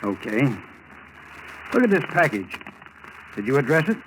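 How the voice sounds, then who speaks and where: An actor playing a curt, formal FBI interrogator in 1940s radio style, on a noisy old recording. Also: male voice, medium pitch, big pitch swings, unhurried, a bit bright. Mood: stern, pressing.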